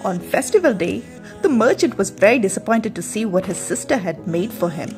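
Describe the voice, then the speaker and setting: A woman narrating a scripted folktale as a storyteller, in an expressive, dramatic manner. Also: medium pitch, a little fast. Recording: music behind the narration.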